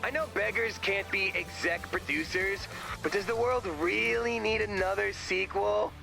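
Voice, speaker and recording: A man's voice: high voice, fairly even intonation, normal speed, vocal fry. A man voicing a scruffy, defensive slacker in a video game, casual and hesitant. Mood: grudging. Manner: casual, hesitant, self-justifying. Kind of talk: video game character voice acting. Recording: music behind the voice.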